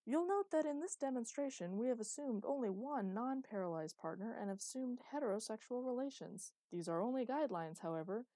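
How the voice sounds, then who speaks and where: A woman's voice: fairly high pitch, animated, fairly quick, few pauses, warm tone, dry recording, pitched above the performer's own voice. A woman voicing a man narrating an old instructional video in a formal announcer manner. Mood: announcing.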